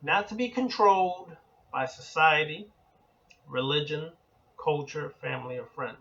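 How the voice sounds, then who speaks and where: A man with a slightly raised pitch teaches in a measured, deliberate way, stacking his points one after another in lists. The recording is dull and lo-fi, and he sounds set back from the microphone. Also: gravelly, fairly bright tone, far from the mic. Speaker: occult teacher. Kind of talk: spoken lecture.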